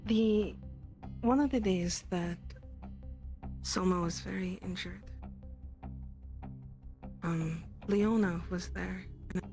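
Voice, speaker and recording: A woman's voice: fairly low pitch, slow pace, long pauses, warm tone, soft background music, rough recording. Woman playing an earnest, caring fantasy character. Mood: earnest, concerned. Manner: hesitant, earnest.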